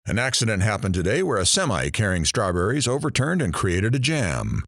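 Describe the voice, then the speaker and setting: Man acting a deep, animated radio host. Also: brisk, no room sound, studio quality, full character voice. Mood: deadpan. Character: wry radio news host and interviewer. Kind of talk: radio show hosting in audio drama.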